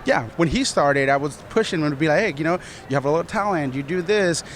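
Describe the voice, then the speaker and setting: An earnest, driven man from Colombia describes building an art business, his voice slightly raised in pitch. He talks energetically, often circling back over his own words. Faint outdoor noise is heard around him. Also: lively intonation, fast talker. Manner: earnest, driven, repeats himself. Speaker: studio manager and business partner. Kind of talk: casual interview answers.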